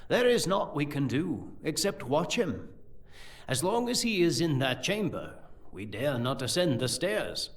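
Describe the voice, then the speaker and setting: A learned, scheming sorcerer-priest played by a male actor in a fantasy audio drama. His voice sits fairly high and swings widely in pitch as he explains things formally and deliberately. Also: very animated. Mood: calm, ominous.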